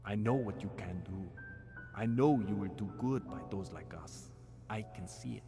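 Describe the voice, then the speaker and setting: An actor playing a solemn, kindly male seer in a video game, speaking in a hushed, reverent way. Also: on the low side, normal speed, soft background music, close to an everyday voice. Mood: solemn, reassuring. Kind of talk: dramatic video game acting.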